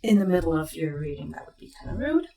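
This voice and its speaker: A woman's voice: low-pitched, big pitch swings, fast, vocal fry, distant mic, slight background noise. A woman doing a casual tarot reading on camera, chatty and meandering, with a slight leftover cough. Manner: chatty, meandering, soft-spoken asides. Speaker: tarot reader. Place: home room with webcam. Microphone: new desk microphone. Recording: echoey room, voice a bit off-mic.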